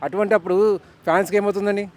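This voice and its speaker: Very fast-talking man explaining his opinion outdoors. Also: high voice, steady tone. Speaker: member of the public. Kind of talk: street interview opinion. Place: outdoors in an urban street. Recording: faint outdoor traffic noise.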